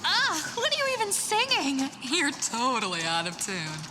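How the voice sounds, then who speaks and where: A haughty lady rogue from a video game, high-voiced and swooping through her phrases. She drawls slowly, vain and bossy, teasing as she goes. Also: wildly swooping pitch, heavy background noise, full character voice. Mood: playful scolding. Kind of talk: video game character acting.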